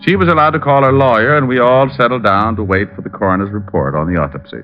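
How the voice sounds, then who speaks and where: Man playing a low-voiced wry private eye, old radio. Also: faint music behind, close to an everyday voice. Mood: wry, narrating. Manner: dry, wisecracking, unhurried. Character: wry, easygoing male private detective, narrating his case. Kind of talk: 1940s radio detective drama narration and dialogue. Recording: muffled old radio recording.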